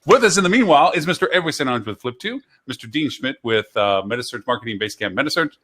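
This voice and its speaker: A man hosting a live online show over a video call. His pitch swings widely and his delivery is animated. He keeps things loose and playful, humming to fill gaps while he introduces his guests. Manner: playful, chatty, fills pauses by humming. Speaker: show host.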